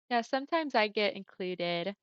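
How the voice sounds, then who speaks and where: A young woman streamer chatting casually with her viewers, friendly and often laughing, with a smooth voice on the deeper side for a woman. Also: no echo. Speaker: livestreamer. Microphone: headset mic. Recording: dull lo-fi headset mic.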